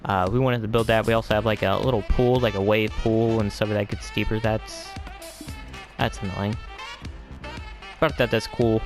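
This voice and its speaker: A man with a fairly low voice hosts a casual game tour. He talks in a loose, hesitant ramble, drifting into vague asides and leaving thoughts unfinished. Faint music plays behind him. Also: fairly even intonation, normal speed. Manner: hesitant, filler-heavy, trailing off with vague asides. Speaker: gaming video host.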